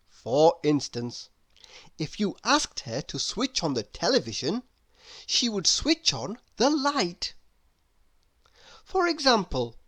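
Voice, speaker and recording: Very animated woman reading a storybook. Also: medium pitch. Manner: deliberate, expressive storytelling. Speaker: storybook narrator. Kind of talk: scripted children's read-aloud narration.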